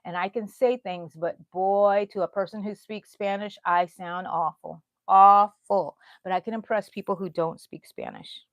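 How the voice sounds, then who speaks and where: A woman's voice: mid-range voice, steady tone, unhurried, few pauses, dry recording. A middle-aged woman from Houston, chatting loosely with her livestream viewers while she crochets and answers their comments. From Houston, Texas. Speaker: crochet channel host.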